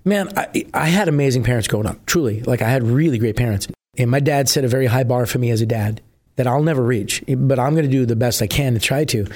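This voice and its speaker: A middle-aged man from New Jersey, a musician guest with a husky voice, chatting casually and rambling with many fillers. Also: medium pitch, fast, a little mellow. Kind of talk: casual interview chat.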